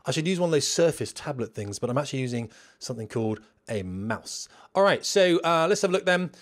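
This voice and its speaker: A man's voice: mid-range voice, expressive, quick pace. A British man in his forties, a flying instructor, giving an informal, chatty lecture with frequent rhetorical questions. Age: 40s. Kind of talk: informal instructional lecture over a drawn map.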